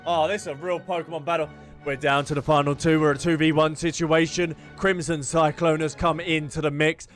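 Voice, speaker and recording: A man's voice: fairly high pitch, little pitch movement, a little fast. A man streaming a video game, giving casual, playful, jokey play-by-play commentary. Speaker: gaming streamer. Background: game music.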